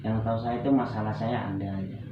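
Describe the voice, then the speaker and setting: Low-voiced Medan-accented man in an echoey room. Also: fairly even intonation, fast. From Medan, North Sumatra, Indonesia. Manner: hesitant, repeats phrases. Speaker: client in a practice counselling session. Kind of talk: counselling conversation, talking about personal problems. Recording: very echoey, muffled, faint background noise.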